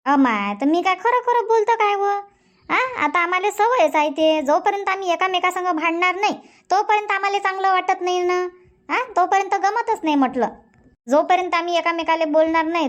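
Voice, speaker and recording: A woman voices a bickering, loving village wife for a comedy cartoon. Her voice is high, her Marathi is rural Vidarbha, and she talks in an emphatic, nagging, chatty way. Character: bickering but loving Indian village wife and mother-in-law. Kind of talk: cartoon comedy dub dialogue.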